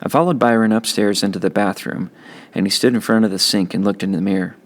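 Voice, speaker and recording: Creaky, low-voiced man narrating a novel. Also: steady tone, fast talker. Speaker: teacher reading a novel aloud. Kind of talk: first-person audiobook narration.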